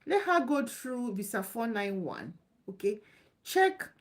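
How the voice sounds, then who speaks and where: Woman, live Q&A host, casual and reassuring, fairly high voice. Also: big pitch swings, slow. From Nigeria. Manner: chatty, loose and repetitive, reassuring. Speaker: live stream host answering visa questions.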